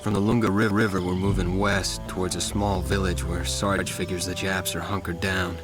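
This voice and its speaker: A man's voice: deep voice, level tone, moderate pace, over music, lightly acted. An actor playing a war-weary young American Marine, narrating his campaign in a subdued, plainspoken, reflective way. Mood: weary, uneasy. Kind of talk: scripted first-person narration.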